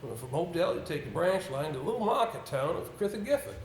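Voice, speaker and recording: A man's voice: fairly high pitch, big pitch swings, quick pace. A man on stage playing an avuncular older male solicitor, musing and hesitant, in a roomy, slightly noisy recording. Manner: hesitant, musing, throat-clearing. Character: avuncular older male solicitor, senior boss, rambling, brisk. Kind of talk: amateur stage play acting. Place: indoor stage, picked up with room sound.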